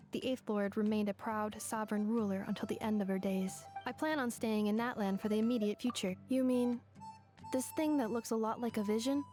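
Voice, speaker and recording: A woman voicing a gentle, matter-of-fact female robot in a video game, measured and precise. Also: a little high, lightly acted. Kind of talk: video game character acting. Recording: faint music behind.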